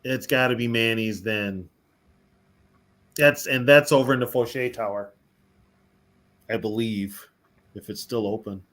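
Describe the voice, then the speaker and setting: A man's voice: medium pitch, measured pace, long pauses, fairly bright tone. A man co-hosting a casual live stream, chatty, laughing easily and talking to the viewers in the chat. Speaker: co-host of a fan podcast.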